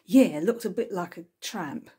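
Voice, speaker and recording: Friendly, helpful man on holiday voiced by a female narrator, audiobook delivery, slightly husky. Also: lowish voice, animated, a little slow, dark tone, full character voice. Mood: informative. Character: Brian, friendly departing male retreat guest.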